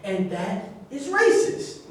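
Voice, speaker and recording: A man in a staged play reading, playing a vain, excitable, aggrieved football star. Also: high voice, slow, full character voice. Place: echoey indoor room at a staged reading. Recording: distant, very echoey room with noise.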